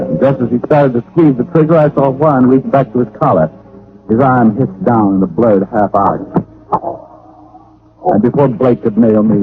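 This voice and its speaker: Through a thin, narrow-band vintage radio recording comes a wry American gumshoe played by a man. His lowish voice tells the story in a cool, wisecracking, hard-boiled way. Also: lightly acted. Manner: dry, wisecracking. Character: witty, hard-boiled male private detective, wry and cool. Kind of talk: old-time radio detective narration and acting.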